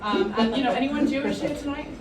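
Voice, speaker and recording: A woman's voice: high voice, fast. A middle-aged woman from South Africa doing a stand-up set, bantering crudely and mocking herself in front of the crowd. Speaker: stand-up comedian. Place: comedy club with a live audience. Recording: roomy live club sound, mic at a distance, crowd noise.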